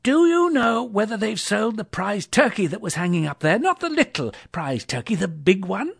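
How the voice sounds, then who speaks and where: A woman's voice: fairly high pitch, big pitch swings, normal speed, gravelly, slightly rough, dry recording, clean studio sound, pitched above the performer's own voice, exaggerated voice. A woman voicing an elderly male miser from a storybook, giddy with joy and exclaiming in breathless, repeated bursts. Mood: excited, delighted. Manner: exuberant, exclamatory, breathlessly repetitive. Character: elderly miser man, reborn giddy and overjoyed.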